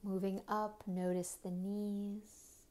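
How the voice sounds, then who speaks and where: A woman guides a meditation with a very slow delivery and a dark, relaxed tone. She speaks gently in short phrases with long pauses, letting the ends soften into breathiness. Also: mid-range voice. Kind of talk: guided body scan meditation.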